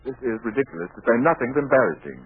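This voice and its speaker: An actor playing a prim, bookish, easily flustered professor in a 1940s radio comedy, with formal, pedantic diction. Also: male voice, medium pitch, very animated, racing, slightly rough. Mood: flustered, indignant. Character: prim, flustered, bookish male professor, naive about slang. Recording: old 1940s radio recording, narrow band.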